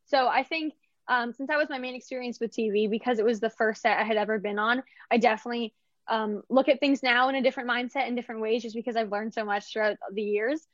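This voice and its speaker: A woman's voice: a little high, fairly even intonation, quick pace, no echo, dull audio. A woman from Vancouver, an actress, chatting upbeat in an interview, with lots of "like" and restarts. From Canada, Vancouver. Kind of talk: casual interview answers.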